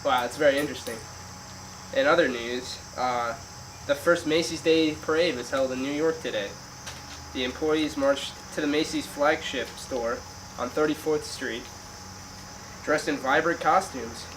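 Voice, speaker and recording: A man's voice: mid-range voice, unhurried. A young man reading a scripted amateur radio news report as the announcer. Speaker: news announcer in a student project. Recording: distant mic, clear background hiss.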